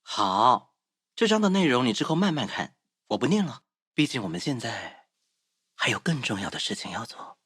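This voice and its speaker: A man's voice: medium pitch, big pitch swings, normal speed, a bit bright, slightly rough, dry recording, studio quality. A man voicing a doting, teasing young man in a romance game, speaking tenderly and playfully. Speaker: game voice actor.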